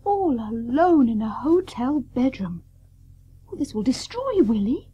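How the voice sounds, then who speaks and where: A woman's voice: high-pitched, very animated, measured pace, dark tone, slightly rough, lo-fi sound, heavily acted. An actress in a radio play playing a dismayed Victorian woman. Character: dismayed Victorian woman reading bad news aloud.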